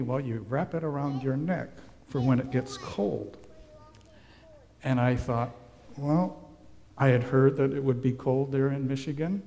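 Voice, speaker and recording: A man who grew up in Hawaii, giving a gentle children's sermon as a pastor and asking the children questions. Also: mid-range voice. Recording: long hall reverb.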